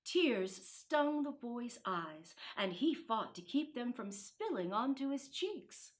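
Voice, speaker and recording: A woman reading a children's Christmas storybook aloud in an expressive, deliberate way. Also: fairly high pitch. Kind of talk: storybook narration.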